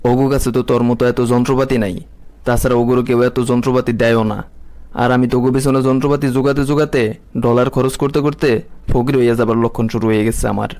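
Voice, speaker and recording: A scolding, bossy cartoon uncle acted by a man talks quickly in colloquial Bangladeshi-accented speech. His mid male voice stays flat in pitch, with faint noise behind it. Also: lightly acted. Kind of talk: comic animated satire dubbing.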